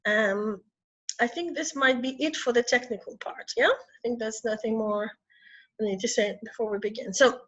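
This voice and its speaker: Middle-aged woman from Ukraine, hoarse from a cold, hesitant webinar speaker, bright tone, muffled call audio. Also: a little high, moderate pace. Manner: hesitant, frequent throat clearing and restarts. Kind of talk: online talk. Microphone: video call.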